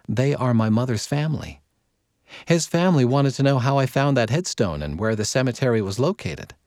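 A man narrating a magazine article from a script in a measured, clearly phrased read-aloud delivery. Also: medium pitch, a little mellow, dry recording, studio quality.

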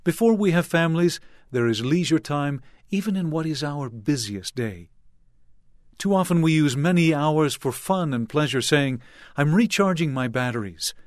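An older man and church leader delivering a sermon to young people in a measured, earnest way with deliberate phrasing. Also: fairly high pitch, animated, no room sound, studio quality.

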